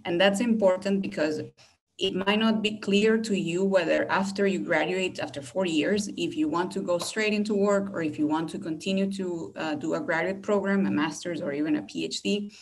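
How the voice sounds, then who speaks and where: A woman's voice: fairly low pitch, moderate pace, poor audio. A woman with a Venezuelan accent, a native Spanish speaker, giving an informative promotional talk as a university recruitment director in an explanatory manner. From Caracas, Venezuela.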